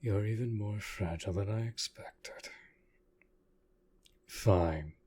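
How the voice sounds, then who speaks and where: A man playing an aloof, commanding yet protective vampire master in an intimate roleplay audio drama, speaking in a formal, measured way. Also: lowish voice, dark tone, slight background noise. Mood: coolly caring. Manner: formal, measured, deliberate speech.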